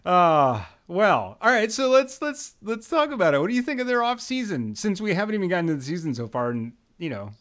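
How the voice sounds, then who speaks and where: Lively, laughing male podcast host. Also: high voice, fairly quick, few pauses. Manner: joking, laughs often, expressive. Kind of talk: casual podcast chat.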